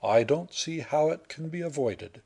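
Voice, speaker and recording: A man's voice: fairly high pitch, animated, creaky, darkish tone, breathy edge, pitched above the performer's own voice, exaggerated voice. A man reading aloud and voicing an unruffled young man who teases his sweetheart with dry humour. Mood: teasing. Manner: unhurried, dry, wry.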